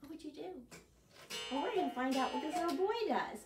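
A woman's voice: high-pitched, brisk, music in the background. Older woman reading a storytime to children. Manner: animated and sing-song, with gestures. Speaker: children's librarian. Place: living room, seated in an armchair. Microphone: camera at a distance. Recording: echoey room, far from the mic.

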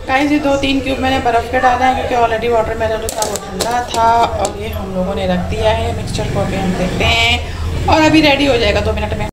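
A woman's voice: a little high, steady tone, a little fast. A woman chatting casually to her viewers while cooking at home, sprinkling in English words. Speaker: home cook showing a recipe. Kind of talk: casual cooking vlog narration. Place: home kitchen. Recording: echoey room with clear household noise.

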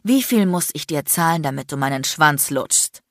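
A woman voicing an icy, furious, sarcastic man in an audiobook, hissing and shouting with biting, threatening bluntness. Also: low voice, animated, moderate pace, no echo, studio quality. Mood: cruel, aggressive. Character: enraged, icy, sarcastic, domineering adult man, a lawyer. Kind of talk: dramatic audiobook character acting.

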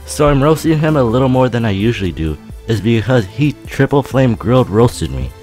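A teenage boy vlogging a roast in a flat, monotone, deadpan voice with dry sarcasm. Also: medium pitch, a little fast, music in the background. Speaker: YouTuber. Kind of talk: casual roast vlog narration.

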